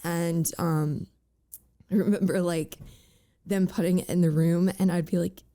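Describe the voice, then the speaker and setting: Raspy young woman with voice cracks. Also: fairly low pitch, steady tone, warm tone. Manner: halting, many fillers and "like"s. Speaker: guest. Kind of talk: candid personal interview.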